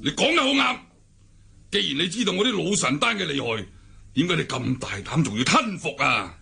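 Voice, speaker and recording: Raspy, domineering martial-arts cult leader. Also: male voice, on the high side, very animated, moderate pace, pushed and bright, heavily acted. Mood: menacing, gloating. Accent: Hong Kong. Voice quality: raspy, gravelly. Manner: theatrical, commanding. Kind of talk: Cantonese radio drama acting.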